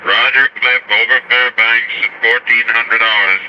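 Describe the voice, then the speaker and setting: A man's voice: medium pitch, steady tone, measured pace, natural voice. A man playing a businesslike airfield tower operator in a 1950s radio drama, on a muffled, noisy old recording.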